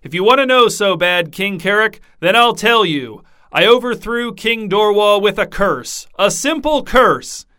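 A hoarse, boisterous king full of merry good cheer, as a male narrator puts him on. He speaks high and loud, with a bright, clear edge. Storybook feast voice. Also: lively intonation, a little slow, few pauses, heavily acted. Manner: boisterous, booming with laughter. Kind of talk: storybook character voice.

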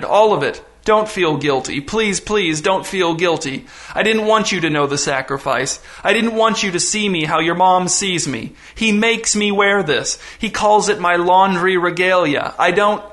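A man plays a humiliated middle-aged dad in an audiobook. His voice is fairly high and strained, choked with tears. He pleads weakly, like a broken man. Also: moderate pace, fairly bright tone. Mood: tearful, humiliated. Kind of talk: audiobook character voice.

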